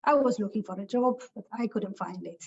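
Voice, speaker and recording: A woman from Ethiopia giving a webinar talk over a video call, speaking haltingly with many filler sounds. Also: mid-range voice, gravelly, darkish tone, slightly rough. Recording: muffled lo-fi call audio.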